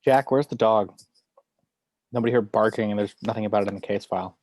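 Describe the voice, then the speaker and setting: A man playing a weary, reluctant former crime investigator in an understated, measured script read. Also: fairly low pitch, darkish tone, a little hiss or hum, close to an everyday voice. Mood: focused. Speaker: actor in a table read.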